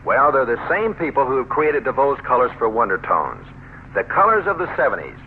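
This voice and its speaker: A man's voice: medium pitch, very animated, fairly quick. An American man reading a scripted radio commercial in a chatty, folksy way. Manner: chatty, folksy sales patter. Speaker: commercial pitchman. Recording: narrow, noisy 1970s radio recording.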